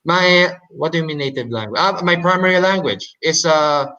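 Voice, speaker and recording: A man whose first language is Hokkien Chinese, giving a presentation in English with many fillers, restarts and pauses. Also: on the high side, sharp tone, highs cut off. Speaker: debate presenter. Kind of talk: halting explanatory presentation.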